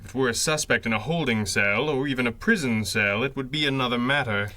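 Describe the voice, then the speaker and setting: An actor playing a stern, secretive, commanding masked crime-fighter in a 1930s pulp radio drama, with clipped, formal, authoritative speech. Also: male voice, mid-range voice, fast talker, bright, forceful tone, no room sound, natural voice. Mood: guarded.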